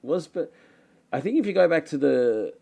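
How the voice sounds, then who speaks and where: Casting: young Aussie bloke, raspy tired voice with gravel, dark tone, self-mocking live-stream host. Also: male voice, on the high side, animated, moderate pace. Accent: Australian. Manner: self-deprecating, joking, rambling with many fillers. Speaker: livestream host answering chat questions. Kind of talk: casual live Q&A chat.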